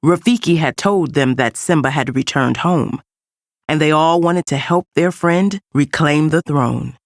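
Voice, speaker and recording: A woman reading a scripted children's read-along story as an expressive storyteller. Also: deep voice, unhurried, no echo, clean studio sound. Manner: expressive storytelling with character voices. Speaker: storybook narrator.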